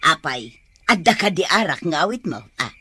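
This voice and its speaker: A woman's voice: low-pitched, very animated, normal speed, bright, forceful tone, slightly rough, exaggerated voice. A woman playing an older grandmother fond of drink and dancing, who talks in a teasing drawl in an Ilocano comedy-drama. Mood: grumbling.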